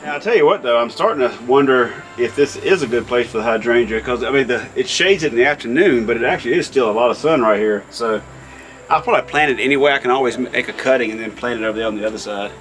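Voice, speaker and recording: A man chatting casually while doing hot outdoor yard work, in an easygoing way with some drawn-out words. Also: medium pitch, fairly bright tone, slight background noise, low-quality recording. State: hot and working hard. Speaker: vlog host. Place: outdoors in a backyard by a deck.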